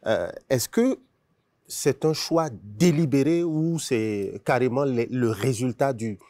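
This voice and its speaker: A man's voice: mid-range voice, takes it slowly, warm tone, no echo, clean studio sound. A man on a talk show panel, asking the guest questions in formal, elaborate phrasing.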